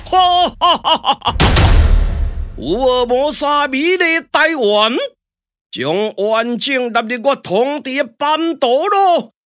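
A man's voice: very high-pitched, expressive, slow pace, a bit bright, smooth, faint music behind, exaggerated voice. A man with a Taiwanese accent voicing a boastful, domineering Dutch governor in a theatrical puppet radio drama. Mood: gloating. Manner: grandiose, theatrical declaiming.

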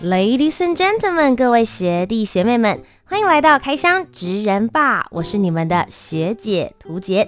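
A woman's voice: a little high, very animated, moderate pace, hardly any pauses, music in the background. A woman with a Taiwanese Mandarin accent hosting an upbeat interview. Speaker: host.

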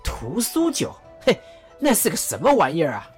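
A woman's voice: on the low side, huge pitch swings, moderate pace, darkish tone, faint music behind. Woman voicing an impatient, eager warlord. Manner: fussy, impatient.

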